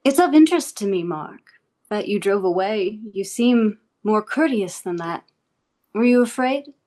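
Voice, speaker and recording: A woman acting as an eerily composed, menacing interrogator in a script table read, speaking formally and deliberately. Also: mid-range voice, animated, darkish tone, lightly acted. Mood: coolly probing. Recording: music playing behind the voice.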